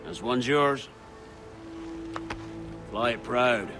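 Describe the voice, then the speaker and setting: An actor playing a bold, proud pirate leader with theatrical, rolling oratory in a video game. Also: male voice, mid-range voice, expressive, very slow, music in the background. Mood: rousing, proud. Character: bold, proud pirate leader, rousing and gruff-humoured man. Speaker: pirate captain. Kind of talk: dramatic video game acting.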